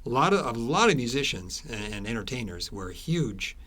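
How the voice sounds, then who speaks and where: A man being interviewed as an author, telling stories conversationally in halting, explanatory phrases with thoughtful pauses. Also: medium pitch, sing-song, fairly bright tone.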